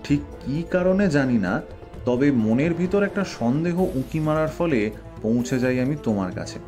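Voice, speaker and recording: A man playing a shrewd, self-assured detective in an audio drama, speaking formally and deliberately with theatrical, probing delivery. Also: mid-range voice, animated, close to an everyday voice. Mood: measured, explanatory. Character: shrewd, self-assured male Bengali detective unmasking a culprit. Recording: loud background music under voice.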